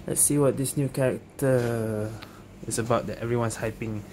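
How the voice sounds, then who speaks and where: A man's voice: medium pitch, steady tone, moderate pace, background noise. A man giving casual gameplay commentary as a gaming host, dropping colloquial tags like lah and eh. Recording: game music behind the voice. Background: video game music.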